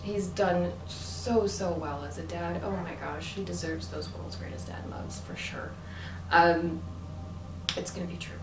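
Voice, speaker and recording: A woman's voice: on the low side, steady tone, unhurried. Woman rambling on camera, lots of um and like, kinda far from the mic w faint background hum. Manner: chatty, many fillers and restarts. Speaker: vlogger. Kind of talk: casual storytelling vlog. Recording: echoey room from a distance with faint ambience.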